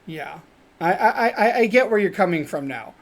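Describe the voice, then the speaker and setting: A man with a higher-pitched voice co-hosting a podcast in casual chat over a video call, rambling and restarting his sentences. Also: animated, moderate pace. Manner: rambling, self-interrupting, with repeated fillers. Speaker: podcast co-host.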